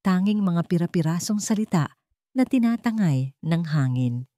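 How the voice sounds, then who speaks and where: A woman's voice: on the low side, lively intonation, normal speed, dark tone, no echo, clean studio sound. A woman narrator reading a scripted dramatic story.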